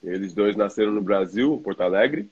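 A man's voice: on the low side, animated, fairly quick, breathy edge. A man from New York with an American accent, chatting casually in hesitant, slightly broken phrasing. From New York, United States. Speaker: guest, basketball player. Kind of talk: casual chat. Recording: dull, lo-fi call audio.

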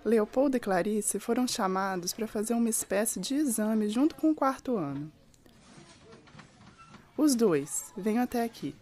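A woman playing a brisk, affectionate schoolteacher addressing a class in a radio drama, with a Brazilian accent. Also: a little high, animated, darkish tone, natural voice.

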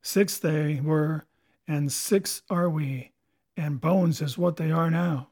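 A man voicing a scheming old male pirate cook with gruff, salty sailor talk, hearty and cunning. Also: a little high, steady tone, slow, darkish tone. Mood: ominous.

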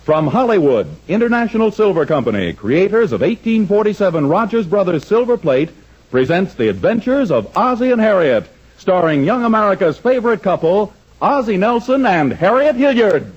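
Genial 1940s radio announcer, tongue-in-cheek, swooping intonation, muffled old recording. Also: male voice, high voice, big pitch swings, normal speed, husky, heavily acted. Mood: grand, promotional.

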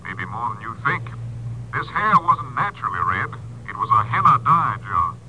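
An actor playing a clipped, official Texas Ranger captain in an old-time radio crime drama. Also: male voice, mid-range voice, sing-song, moderate pace, pushed and bright, highs cut off, slight background noise. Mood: brisk, pointed. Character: brisk Texas Ranger captain reporting from headquarters.

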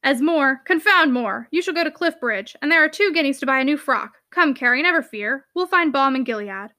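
A woman's voice: sits high, lively intonation, brisk, pushed and bright, no room sound, studio quality. A woman voicing a blustering, impatient middle-aged uncle from a storybook, brusque, scoffing and exclamatory. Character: blustering, impatient fifty-five-year-old uncle, gruffly dismissive. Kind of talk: storybook character dialogue.

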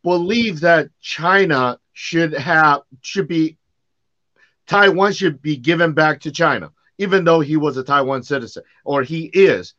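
This is a man with a slightly high voice, co-hosting a casual discussion show. He talks in an opinionated, conversational way, and the audio is a little dull. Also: unhurried, fairly bright tone. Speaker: podcast co-host.

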